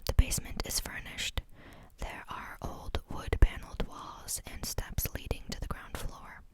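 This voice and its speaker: A woman reading a book aloud in a soft, unvoiced whisper, steady but sometimes stumbling on a word and starting it again. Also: measured pace. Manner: reads steadily, stumbles and restarts on words. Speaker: reader.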